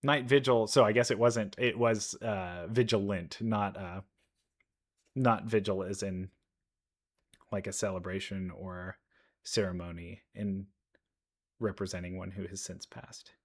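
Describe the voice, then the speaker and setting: A man's voice: deep voice, fairly even intonation, measured pace, pauses often. A man giving casual, unscripted commentary as host of a reaction video. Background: faint music.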